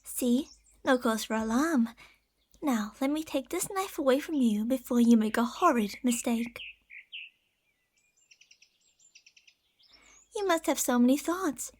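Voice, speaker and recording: A woman playing a possessive, tender but deceitful lamia in an ASMR roleplay, speaking softly and formally, at times slipping into whispers. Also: high-pitched, lively intonation, normal speed. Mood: soothing, concerned. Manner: soft, formal, soothing speech with breathy whispers.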